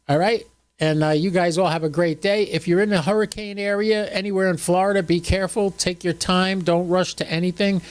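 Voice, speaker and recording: A man in his seventies hosting a livestream, chatting casually with viewers in a folksy, joking, rambling way. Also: on the high side. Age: 70s. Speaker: host of a ham radio livestream.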